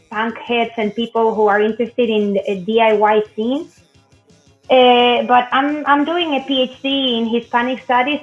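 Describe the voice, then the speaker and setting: An earnest woman explains her research as a guest, pausing often to find her words. Her slightly high voice comes through a muffled, lo-fi video call, with faint music behind. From Spain.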